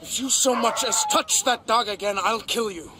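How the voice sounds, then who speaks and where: An actor playing a stern, seasoned, protective frontier prospector in a radio drama, giving plain-spoken, emphatic warnings. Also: male voice, very high-pitched, normal speed, noisy background. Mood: threatening.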